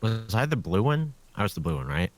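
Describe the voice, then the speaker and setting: A man's voice: lowish voice, sing-song, a little fast, no echo. A man co-hosting a let's play, chatting casually and jokingly with some swearing. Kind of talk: casual gaming chat. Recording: game music behind the voice. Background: video game music.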